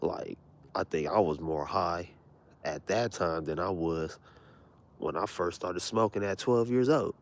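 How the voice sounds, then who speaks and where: Young man, very deep low voice, laid-back, rambling, profane, hushed late-night vlog at home. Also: a little slow. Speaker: vlogger. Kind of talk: casual late-night storytelling.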